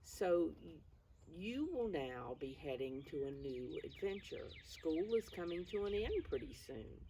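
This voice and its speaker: An older woman reading aloud to young children, pausing to explain things in simple words. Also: fairly low pitch, sing-song, dark tone. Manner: addresses children simply, calls self by name in third person. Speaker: preschool storytime reader. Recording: distant mic, faint background noise.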